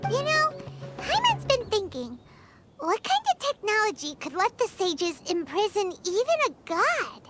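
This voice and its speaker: A woman voicing a tiny, excitable, childlike fairy companion in a video game, with a squeaky voice. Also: a little slow, faint music behind, heavily acted. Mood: curious, eager. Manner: refers to self in third person, exclamatory. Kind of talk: animated video game voice acting.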